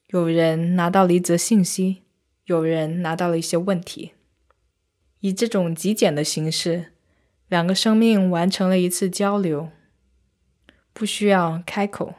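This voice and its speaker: A woman with a fairly low voice narrates an audio arts journal. She speaks as the host, telling a scripted first-person account. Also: darkish tone. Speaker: podcast host and arts reviewer.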